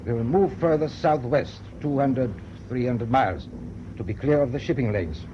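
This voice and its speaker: An actor playing a stern, proud German naval captain in a radio drama, speaking in a clipped, formal, authoritative way. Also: male voice, mid-range voice, moderate pace, lightly acted. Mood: grave, resolute. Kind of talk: BBC radio drama acting. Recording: dull, noisy old radio broadcast tape.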